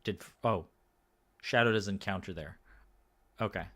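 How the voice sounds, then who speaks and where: A man with a somewhat low, slightly hoarse voice talks through a card game match by himself. He keeps it relaxed and loose, pausing often with "uh" and "um" and restarting sentences as he thinks aloud. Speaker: game commentator and podcast host. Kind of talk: solo casual match commentary.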